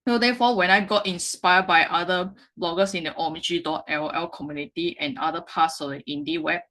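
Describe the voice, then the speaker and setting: A woman, a Mandarin speaker, giving a slide presentation with frequent halting self-corrections. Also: lowish voice, fairly even intonation, bright, forceful tone. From Malaysia. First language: Mandarin Chinese. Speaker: meetup speaker.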